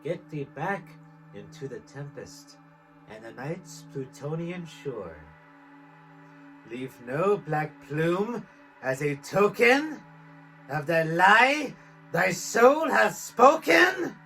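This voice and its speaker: Casting: desperate horror-poem reciter, intense, theatrical, higher-pitched and bright, drawn-out pacing. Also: male voice, very animated, soft background music, full character voice. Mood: furious despair. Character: tormented, desperate male narrator of a gothic horror poem. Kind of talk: dramatic poetry recitation in impersonated character voice.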